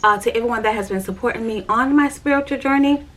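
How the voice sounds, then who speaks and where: A woman's voice: on the high side, fast. A woman vlogger telling her own life story in a candid, rambling way, full of fillers and repeats, talking straight to her viewers. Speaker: vlogger sharing her spiritual journey. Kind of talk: casual personal storytelling.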